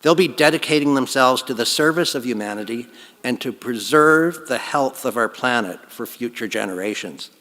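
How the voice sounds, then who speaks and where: A man giving a formal, earnest convocation address at a podium, his phrases trailing off softly. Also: mid-range voice, in a large hall. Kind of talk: formal ceremonial speech. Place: large hall.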